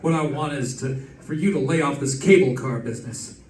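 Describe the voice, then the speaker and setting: Menacing male anonymous caller, acted, old-time radio thriller, mid pitch, distant echoey pickup. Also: a little fast, darkish tone, natural voice. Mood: menacing, insistent. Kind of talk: 1940s radio detective acting. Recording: echoey stage sound, off mic.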